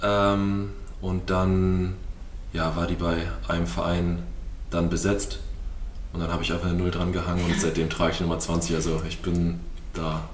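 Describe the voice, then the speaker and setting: A man's voice: low voice, monotone, normal speed, far from the mic. A man in his late twenties from northern Germany, a podcast guest giving brief answers with a laugh. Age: 20s. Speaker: guest, handball player. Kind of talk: casual interview answers. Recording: roomy, off-mic with faint noise.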